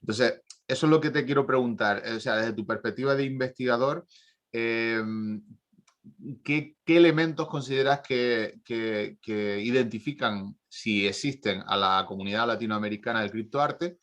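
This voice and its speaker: A man moderating a conference Q&A, asking long, halting questions full of searching pauses. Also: medium pitch, a bit bright.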